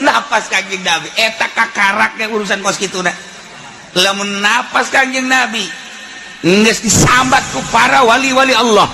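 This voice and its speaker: High-pitched Sundanese-accented male preacher. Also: vocal fry, noisy background. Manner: animated, shifting between chanting, shouting and folksy asides. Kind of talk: fiery religious sermon with storytelling.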